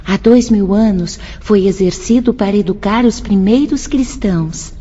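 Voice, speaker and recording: An actress playing a wise, gentle, devout spirit teacher in a radio drama, speaking in a solemn, measured way. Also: female voice, mid-range voice, a little hiss or hum, natural voice. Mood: serene, reverent. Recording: slightly muffled, music underneath.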